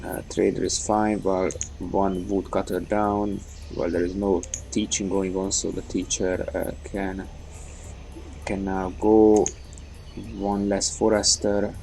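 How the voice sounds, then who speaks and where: Male gaming host, low gravelly voice, halting off-the-cuff commentary over faint noise. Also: little pitch movement, a little mellow. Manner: halting, thinking aloud. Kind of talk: unscripted let's play commentary.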